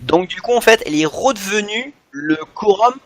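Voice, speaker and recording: A man's voice: high voice, expressive, moderate pace. A man chatting enthusiastically as a podcast co-host about things he loves, often repeating himself. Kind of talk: animated fan discussion of cartoons.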